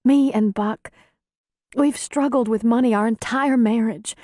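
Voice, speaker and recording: A woman voicing a distraught middle-aged rural grandmother in an audiobook, with a quavering, pleading voice and halting, folksy speech. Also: a little high, mellow, no echo, studio quality, pitched above the performer's own voice, close to an everyday voice. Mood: tearful, pleading. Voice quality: quavering, wailing voice. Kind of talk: audiobook character dialogue.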